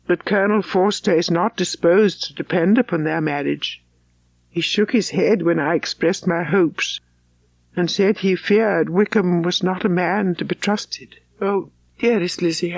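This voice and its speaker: A woman reading as an anxious, gentle young gentlewoman, muffled. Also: lowish voice, gravelly, no room sound. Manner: earnest, sorrowful, refined.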